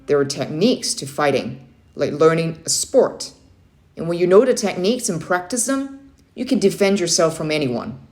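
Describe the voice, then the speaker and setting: A woman's voice: on the low side, sing-song, normal speed, darkish tone. A woman voicing a stern, motivating young Navy uncle in a children's story read-aloud, firm and emphatic. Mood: rousing, emphatic.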